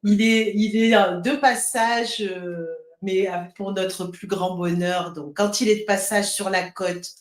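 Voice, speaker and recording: A woman's voice: mid-range voice, steady tone, a little slow, hardly any pauses. A woman hosting a casual live interview, answering with short agreeing echoes and reading out viewer comments. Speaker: live-stream host.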